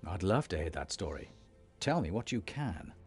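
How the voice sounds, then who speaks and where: Low-voiced, animated warrior leader. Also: male voice, moderate pace, husky, faint music behind, heavily acted. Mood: curious. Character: commanding male warrior leader, measured and decisive. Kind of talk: fantasy video game voice acting.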